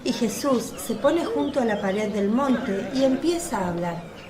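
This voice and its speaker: A woman with a fairly high voice narrates a scripted religious radio drama. She tells the story as narrator, with a clear murmur of a crowd behind her. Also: lively intonation, measured pace.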